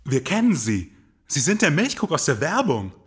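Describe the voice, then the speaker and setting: A man's voice: on the high side, sing-song, normal speed, a bit bright, slightly rough, no echo, heavily acted. An actor playing a laconic detective who narrates his case in an audio drama with terse, dry phrasing. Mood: questioning.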